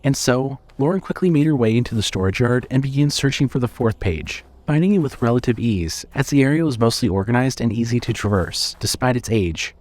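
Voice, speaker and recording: A man's voice: medium pitch, expressive, fairly quick, hardly any pauses, darkish tone. A man narrating a scripted horror story as the narrator of an audio drama.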